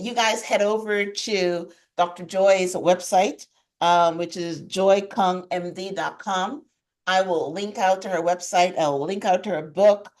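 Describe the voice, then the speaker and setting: A woman from Guyana hosts a podcast in relaxed conversation, affirming her guest often. Her voice is mid-pitched and easygoing. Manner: warm encouraging interjections, laughs often. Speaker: podcast host. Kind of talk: casual interview chat.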